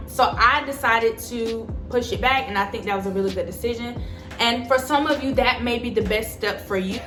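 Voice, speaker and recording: A woman's voice: mid-range voice, a bit bright. Chatty woman in her twenties, echoey room. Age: 20s. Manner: chatty, emphatic, addresses viewers as y'all. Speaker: vlogger. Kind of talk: casual advice chat to camera.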